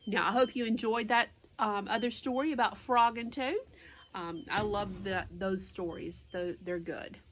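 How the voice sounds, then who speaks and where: A woman's voice: medium pitch, steady tone, measured pace, a bit bright, a little hiss or hum. A woman reading a children's storybook aloud as a teacher would, with simple explanatory asides for young listeners.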